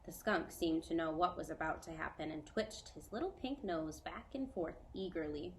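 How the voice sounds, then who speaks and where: Teacher lady reading a kids chapter book out loud, calm and clear, lowish voice. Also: a little hiss or hum. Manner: clear, measured and gentle. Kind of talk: reading a children's novel aloud.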